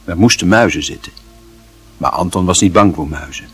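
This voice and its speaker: A man's voice: deep voice, moderate pace, a bit bright, husky, soft background music. A man reading literary fiction as a narrator, in a dramatic, scripted storytelling style.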